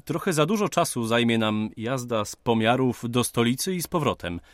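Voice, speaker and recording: An actor playing a polite, eager 19th-century surveyor, speaking formally and courteously in a radio drama. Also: male voice, medium pitch, very animated, normal speed, no echo, studio quality, natural voice. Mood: keen, courteous.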